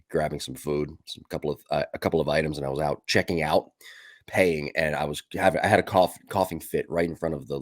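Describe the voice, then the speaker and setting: Podcast co-host, man, low voice, congested from a cold, blunt and swearing. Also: no echo, studio quality. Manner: animated, profane ranting. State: stuffed up from a cold. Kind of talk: casual podcast chat.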